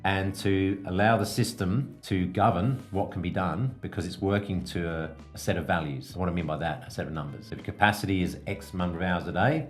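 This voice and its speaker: Male podcast coach, low-pitched, instructive and measured delivery, explanatory style, faint music underneath. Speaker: podcast host, business coach.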